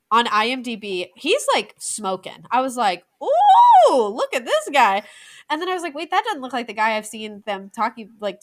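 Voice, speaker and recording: An American woman in her thirties chats through a film review podcast with a bright, lively voice that leaps up and down in pitch. She talks in a chatty, excitable way, full of quick asides. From United States. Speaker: podcast co-host. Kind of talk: casual film review chat.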